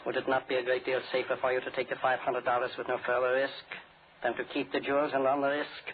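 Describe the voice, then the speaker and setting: A narrow, slightly noisy old radio recording carries a man acting as a villainous doctor in a thriller. He speaks in a silky, formal, deliberate way at a medium pitch, all courtesy with cool menace underneath. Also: monotone, lightly acted. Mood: silky, urbane.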